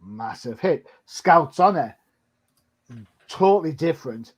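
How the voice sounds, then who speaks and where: A man's voice: on the high side, lively intonation, slow, slightly rough. A man co-hosting a podcast, putting casual questions in an emphatic, punchy way. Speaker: podcast co-host, interviewer. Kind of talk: casual interview chat.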